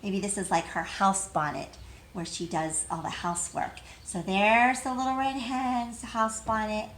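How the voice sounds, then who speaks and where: A woman's voice: mid-range voice, fairly even intonation, measured pace, a bit bright, slight background noise. A woman teacher leading a read-aloud lesson for young children in simple, encouraging language, asking questions and answering them herself.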